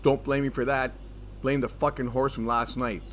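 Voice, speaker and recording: A man's voice: mid-range voice, level tone, normal speed, lightly acted. A man playing a sarcastic, defensive, grumpy gambler in a scripted comedy audio drama. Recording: clear background ambience noise.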